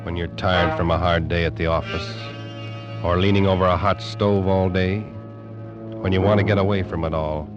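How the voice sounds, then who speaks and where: Very deep-voiced American announcer man, lo-fi. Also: steady tone, normal speed. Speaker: radio announcer. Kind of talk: 1940s radio announcing, slow dramatic promo. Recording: dull lo-fi vintage radio recording. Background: music.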